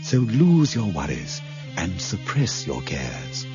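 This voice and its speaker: A deep, smooth computer announcer. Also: male voice, huge pitch swings, slow pace, heavily acted. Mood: soothing. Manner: smooth, soothing announcer delivery. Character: male broadcast voice of a ruling computer. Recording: dull lo-fi radio drama recording with music.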